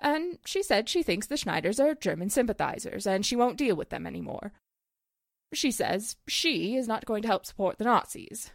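A woman's voice: medium pitch, big pitch swings, moderate pace, creaky, dry recording, studio quality. A woman acting a chatty, coy small-town 1940s housewife who loves gossip, in a radio drama. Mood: defensive.

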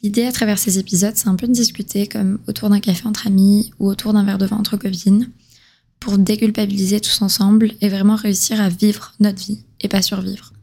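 A woman's voice: mid-range voice, little pitch movement, fairly quick, dark tone. A woman in her twenties from southern France, hosting a solo podcast in a casual, confiding way with slangy chat. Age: 20s. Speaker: podcast host.

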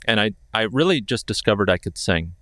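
A man's voice: lowish voice, animated, brisk, a bit bright, no room sound. A man who also sings, interviewing a fellow singer in a chatty, joking way with long questions. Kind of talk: casual interview conversation.

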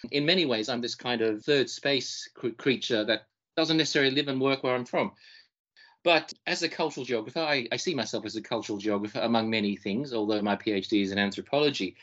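A man's voice: mid-range voice, fast, a bit bright, dull audio. Man co-hosting a podcast, thoughtful. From Fiji and Australia. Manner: thoughtful, repeats words while searching for phrasing. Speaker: podcast co-host. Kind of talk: casual self-introduction.

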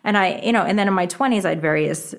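A woman's voice: on the low side, quick pace, gravelly. A middle-aged woman whose first language is Japanese, a book author talking as an interview guest, chatty and often restarting her sentences. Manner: chatty, restarts sentences, lively rises when excited. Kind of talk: interview conversation.

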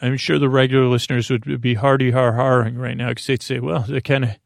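A man with a creaky voice hosting a sleep podcast, giving a halting, meandering, absurd monologue that keeps trailing off and restarting. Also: mid-range voice, flat delivery, no room sound, clean studio sound. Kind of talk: rambling, absurd bedtime monologue.

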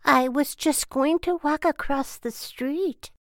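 Slow, high-voiced sweet old lady, acted by a woman. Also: no echo, studio quality. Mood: grateful, frail. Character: worried, confused, grateful old lady. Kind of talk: audio drama acting.

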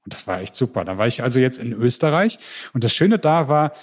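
A man from Germany, a podcast interview guest, telling his story in a lively way with frequent restarts and repeated words. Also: medium pitch, brisk.

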